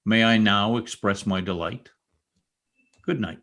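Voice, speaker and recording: A man's voice: on the low side, measured pace, noisy background. A man playing a bitter, commanding former stable boy turned rich, sardonic, in old-time radio drama style.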